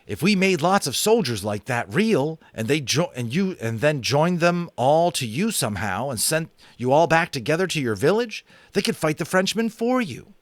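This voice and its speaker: A man's voice: a little high, very animated, normal speed, few pauses, no echo, clean studio sound, pitched above the performer's own voice. A man voicing an eager young schoolboy with a raised, hopeful tone.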